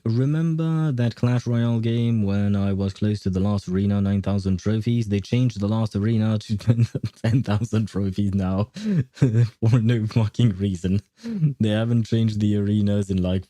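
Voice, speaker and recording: A man's voice: mid-range voice, warm tone, no room sound. A man hosting a livestream, chatting casually with viewers in a hesitant, repetitive way. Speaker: livestream host.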